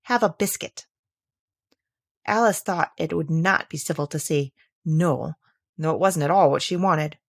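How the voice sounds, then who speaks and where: A woman narrating a classic children's story aloud in an expressive, scripted storytelling style. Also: low-pitched, normal speed, vocal fry, no echo, clean studio sound. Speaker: audiobook reader.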